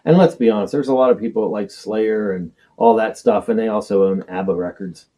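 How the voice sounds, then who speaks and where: A man's voice: lowish voice, a little fast, dark tone. An American man hosting a casual, chatty interview with a band. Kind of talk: casual chat.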